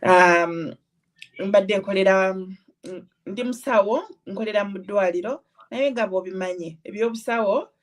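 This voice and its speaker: A Ugandan woman on a video call, a nurse introducing herself in an unhurried way with many hesitations. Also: medium pitch. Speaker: guest, registered nurse. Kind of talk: self-introduction.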